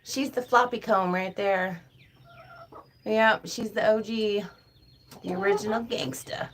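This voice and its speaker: Woman streaming from her phone outdoors by the coop, slow easy talk, kinda flat voice, chickens faint in back. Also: mid-range voice, slight background noise. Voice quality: calm, disinterested-sounding voice. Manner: chatty, laughs often, talks to her birds. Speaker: backyard chicken keeper streaming live. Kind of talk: casual live-stream chat. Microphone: phone held in hand.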